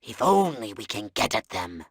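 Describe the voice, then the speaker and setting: An old, scornful alien commander in a video game. The delivery is theatrical and pompous, leaping around in pitch with a sharp, forceful edge. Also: male voice, very animated, moderate pace, soft background music. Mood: grandly explaining. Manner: pompous, theatrical, grandly enunciated.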